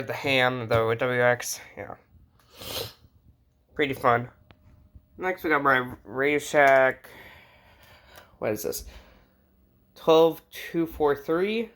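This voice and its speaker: A man's voice: medium pitch, a bit bright, slight background noise. A man talking casually and informally as he shows off a collection, rambling as he goes. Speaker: host showing a radio collection. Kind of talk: casual hobby show-and-tell.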